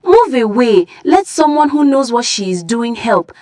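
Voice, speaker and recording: A woman voicing pushy young village women, high-pitched. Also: very animated, measured pace, a little mellow, no room sound. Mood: eager, pushy. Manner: pushy, boastful. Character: eager, competitive young village women vying to help.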